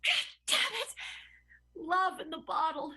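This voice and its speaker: A woman playing a boozy, lovelorn, volatile character in an improvised comic radio soap, with overwrought, drawn-out melodrama. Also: very high voice, sing-song, pushed and bright, full character voice. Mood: anguished. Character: wild, boozy, lovelorn woman with murderous temper.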